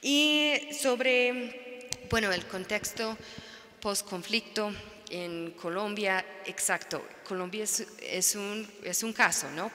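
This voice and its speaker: Slow, halting woman, native English speaker. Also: medium pitch, sharp tone. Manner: halting, searching for words. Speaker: panelist, NGO researcher. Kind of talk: academic panel talk. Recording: reverberant lecture hall.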